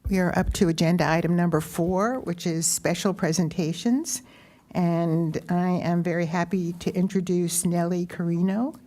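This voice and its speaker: A woman's voice: fairly low pitch, fairly even intonation, normal speed, warm tone. A woman chairing a city commission meeting, friendly and procedural as she introduces a guest speaker. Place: city council chamber.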